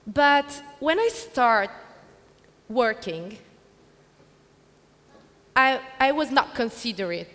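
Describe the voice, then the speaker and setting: A woman speaking on stage in halting English, in short broken phrases. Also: a little high, lively intonation, fairly bright tone. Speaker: event speaker and presenter. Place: theatre stage. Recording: echoey hall, long reverb.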